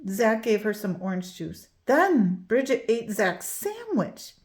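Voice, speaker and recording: A woman reading a children's picture book aloud, expressive and storytelling. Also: medium pitch, takes it slowly. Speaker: read-aloud host.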